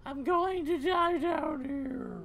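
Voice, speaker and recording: A man's voice: very high-pitched, takes it slowly, crowd noise, full character voice. A man voicing an exhausted, frightened young female animal in a dramatic video game reading. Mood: distressed.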